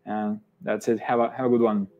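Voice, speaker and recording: A man with a Serbian accent hosting an online interview, speaking haltingly with many fillers and restarts. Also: on the low side, little pitch movement, darkish tone, lo-fi sound. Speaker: event host.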